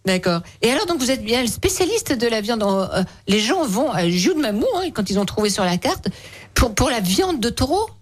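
A woman hosting a radio show in a lively, conversational interview style, chatty and teasing with guests. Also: fairly high pitch, moderate pace.

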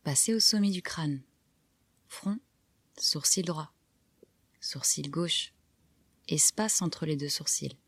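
A woman guiding a relaxation meditation, giving calm, soft, measured instructions with pauses between phrases. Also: sits low, slightly rough, no room sound. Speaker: yoga nidra guide. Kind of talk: guided relaxation meditation narration.

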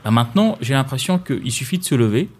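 A man in his thirties who grew up in Kinshasa, speaking as an interview guest at an ordinary mid pitch. He talks in a thoughtful way, often repeating words and restarting his sentences as he thinks things through. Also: animated, fast. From Congo, Kinshasa. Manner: thoughtful, frequent restarts and repetitions. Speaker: guest, banker. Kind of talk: casual interview conversation.

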